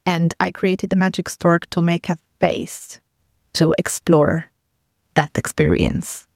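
A woman's voice: on the low side, fairly even intonation, takes it slowly, vocal fry, darkish tone, no room sound, clean studio sound. A woman guest explaining her migrant support service in a reflective interview. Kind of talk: thoughtful interview talk.

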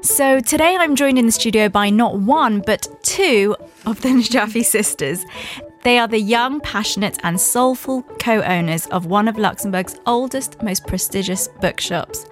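A woman hosting a studio podcast interview, asking questions in a friendly, measured way. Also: a little high, soft background music. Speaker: podcast host.

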